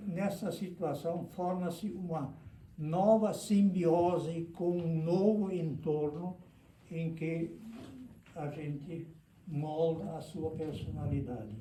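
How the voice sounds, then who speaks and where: Older guy, german first language, slow halting talk, lots of restarts, sounds far off in a roomy echoey space with noise behind. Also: fairly high pitch, mellow. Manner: halting, many restarts, searching for words. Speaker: speaker at a book event. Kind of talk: reflective public talk. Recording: echoey room, mic at a distance, noisy.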